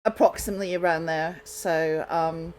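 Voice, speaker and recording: A woman hosting a radio show with casual, unscripted chat, halting and apologetic as she goes. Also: sits low, fairly even intonation.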